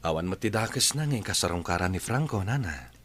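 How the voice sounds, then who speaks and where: A calm, supportive husband in a radio drama, played by a man. His voice is deep, and he speaks in a measured, reassuring way. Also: slight background noise. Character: calm, supportive husband and employer, Sir Edward. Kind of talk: radio drama dialogue in Ilocano.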